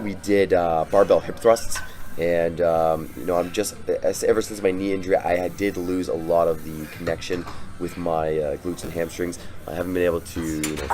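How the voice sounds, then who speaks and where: A young man with a very low voice, hoarse from a cold, talks over his workout footage. He rambles in a loose, easygoing way, stopping often to restart a thought, while faint music plays underneath. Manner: relaxed, rambling, many fillers and restarts. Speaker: fitness vlogger.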